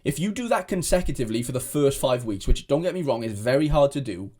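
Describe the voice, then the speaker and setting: A man's voice: mid-range voice, lively intonation, fast talker, darkish tone. Rambling young man. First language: Welsh. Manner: rambling, many restarts and fillers. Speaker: fantasy football content creator. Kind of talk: casual chat to camera.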